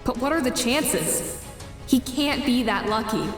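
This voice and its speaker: Competitive, calculating teen girl for a webcomic dub, played by a woman: high, slow and deliberate, music underneath. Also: lightly acted. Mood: pensive, wary. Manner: deliberate, musing to self. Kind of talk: webcomic fan dub acting with inner monologue. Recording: roomy voice with music behind.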